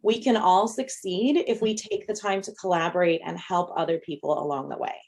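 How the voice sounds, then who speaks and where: A woman's voice: fairly low pitch, a little fast, a little mellow, a little hiss or hum. A woman real estate agent on a panel, speaking earnestly about collaboration. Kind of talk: panel discussion.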